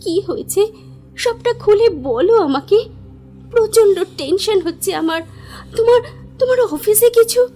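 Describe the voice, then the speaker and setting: A woman playing an affectionate, anxious young bride-to-be in a Bengali audio drama, speaking in a theatrical, expressive way. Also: very high-pitched, measured pace, long pauses, faint music behind. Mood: anxious, pleading. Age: young adult. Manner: theatrical, expressive, hesitant repetitions when alarmed.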